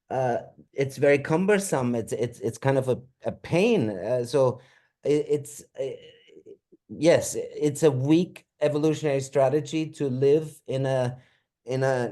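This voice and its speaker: A man's voice: medium pitch, mellow. A middle-aged man from Austria, an anthropologist, giving a webinar talk over a video call, with many ums and restarts. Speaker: anthropologist presenting his book.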